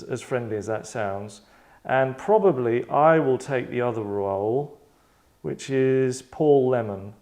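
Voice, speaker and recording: A man's voice: mid-range voice, darkish tone. A man hosting a table read in a rambling, digressive, tongue-in-cheek chat. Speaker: host and writer running a table read.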